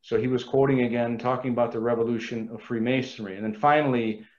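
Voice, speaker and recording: A man giving an earnest, measured talk on a video call, quoting sources at length. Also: medium pitch, steady tone, a little mellow, highs cut off.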